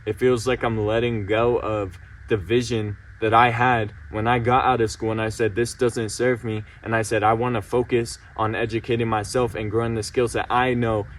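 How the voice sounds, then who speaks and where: A man's voice: fairly low pitch, flat delivery, normal speed, hardly any pauses, distant mic. A young man about to turn eighteen, venting his frustration in a heated, insistent way and repeating himself. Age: late teens. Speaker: vlogger. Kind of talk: emotional personal vent to camera.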